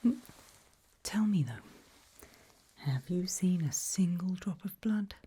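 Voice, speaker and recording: A woman whispering gently and reassuringly to a patient in an ASMR medical roleplay, close to the microphone. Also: on the low side, lively intonation, slow pace, breathy edge. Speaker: ASMR performer playing a back-alley eye surgeon.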